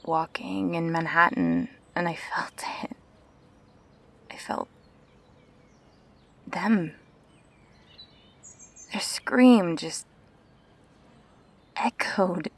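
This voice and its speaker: A woman plays an uneasy young woman in a science fiction audio drama. She speaks slowly and informally, with a husky, creaky voice and a hesitant, sceptical manner. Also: fairly low pitch, heavily acted.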